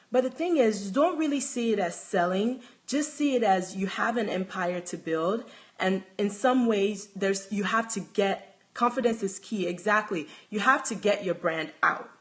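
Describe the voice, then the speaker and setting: Woman, Nigerian accent, young adult, casual livestream chat, phone mic. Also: medium pitch. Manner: chatty, restarts often, reads out comments. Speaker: handbag designer hosting a livestream. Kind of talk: casual livestream chat answering viewer comments.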